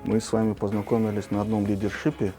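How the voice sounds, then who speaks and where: A man's voice: on the low side, level tone, racing, dark tone, soft background music. A man hosting an interview, speaking in a formal, announcer-like way. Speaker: interview host.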